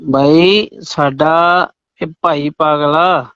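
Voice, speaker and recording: A Punjabi-accented man with a very high, clean voice plays his part in a comic phone call, protesting and repeating himself. The sound is dry, with the dulled quality of a call recording. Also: fairly even intonation, very slow, a bit bright, smooth, dry recording.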